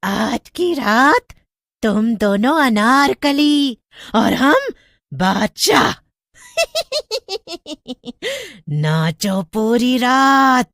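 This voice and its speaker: A menacing witch from a horror storybook. She drags her words slowly and mockingly, breaking into cackles. The sound is dry and close. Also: on the high side, sing-song, a bit bright, dry recording, pitched above the performer's own voice, heavily acted. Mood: gleeful menace.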